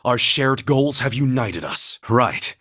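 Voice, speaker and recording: An actor playing a calm, earnest young warrior in a video game, humble and loyal, with a measured, formal way of speaking. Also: male voice, mid-range voice, exaggerated voice. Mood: solemn, earnest. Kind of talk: scripted video game voice acting, battle cries and story dialogue.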